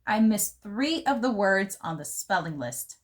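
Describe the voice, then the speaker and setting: A woman voicing a fancy, dramatic little girl who loves glamour, telling her story from a children's book in an expressive, theatrical way. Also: mid-range voice, a little slow. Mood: exhausted, dramatic. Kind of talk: first-person storybook narration for children.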